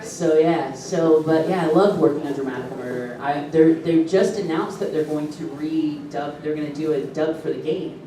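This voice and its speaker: A man's voice: on the high side, normal speed, few pauses, crowd noise. A man talking casually and animatedly at a convention panel, telling stories with frequent laughs. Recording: echoey room from far off.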